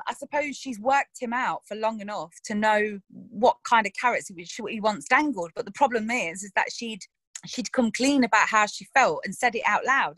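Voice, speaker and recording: A young actress talking casually in an interview about a character she played, animated and quick to act out quoted lines. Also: on the high side, dry recording.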